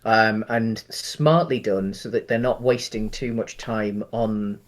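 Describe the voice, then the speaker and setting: A man co-hosting a podcast, chatting casually about films and thinking aloud in a halting way. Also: lowish voice. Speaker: podcast co-host. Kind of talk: casual film discussion.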